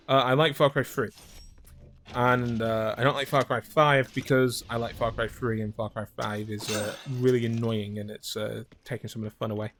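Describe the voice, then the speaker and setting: Man streaming a game at home, casual chat, hesitant rambling with many fillers, blunt swearing, mid-pitched voice, faint background hum. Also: fairly bright tone. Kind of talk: casual chat while playing a video game.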